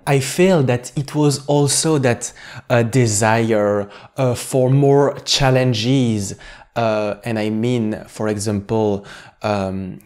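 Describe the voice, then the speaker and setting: A man hosting an interview, asking formal questions with many hesitant "uh" and "um" pauses. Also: medium pitch, darkish tone. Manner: halting, many "uh" and "um" fillers, formal phrasing. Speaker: host.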